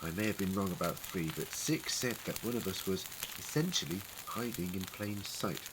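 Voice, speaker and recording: A man playing an eccentric, dignified time-traveller in an audio drama, speaking in a wry, formal, wordy way with gentle authority. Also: low-pitched, normal speed, lightly acted. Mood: measured, curious. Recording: prominent crackling ambience noise.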